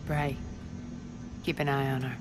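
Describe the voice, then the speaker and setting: A woman's voice: rumbling low, level tone, unhurried, noisy background. An actress playing a commanding, sardonic, vengeful female crime boss in a sci-fi video game. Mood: cool, sardonic. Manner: imperious, sardonic.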